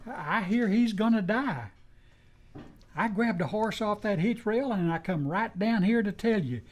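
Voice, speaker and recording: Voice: medium pitch, expressive, measured pace. An earnest, folksy sidekick from a radio western, acted out by a performer. The American voice rises and falls a great deal, pleading urgently in plain country dialect. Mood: urgent, grave. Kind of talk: old-time radio western acting.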